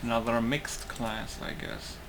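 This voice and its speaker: Slow-paced man musing over a game. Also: mid-range voice, fairly even intonation, background noise. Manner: musing, thinking aloud. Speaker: gaming YouTuber. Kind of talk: casual let's play commentary.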